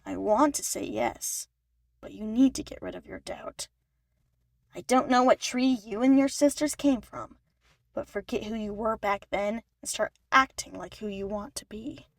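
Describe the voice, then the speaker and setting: A woman's voice: a little high, unhurried, gravelly, no room sound. A woman voicing a commanding, pushy male talent manager, stern and insistent, in an audio story. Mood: stern, urging. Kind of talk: fan fiction audio story acting.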